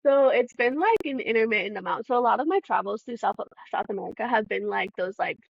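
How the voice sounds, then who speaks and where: A woman's voice: fairly high pitch, fast talker, gravelly, slightly rough, dry recording, highs cut off. A woman podcast host from Southern California, chatting in an upbeat, casual way and laughing easily. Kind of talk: casual chat.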